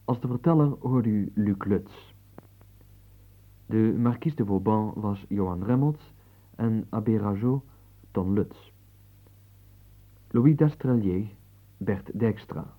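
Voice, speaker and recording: A male announcer reading the closing credits of a radio drama, slow and measured, his voice on the low side. The sound is thin and narrow, like a phone line. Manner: measured, formal.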